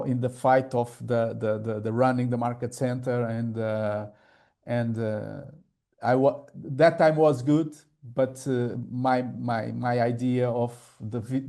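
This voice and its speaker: Portuguese guy on a video call, gravelly mellow voice, lots of uh and restarts. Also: medium pitch. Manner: halting, many fillers and repeated words. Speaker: real estate trainer and coach, guest. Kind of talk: hesitant conversational English.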